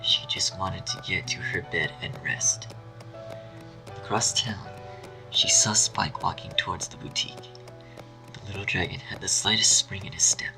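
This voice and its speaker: Deep gravelly male story narrator. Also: moderate pace, low-quality recording. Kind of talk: dramatic scripted story narration. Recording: faint music behind.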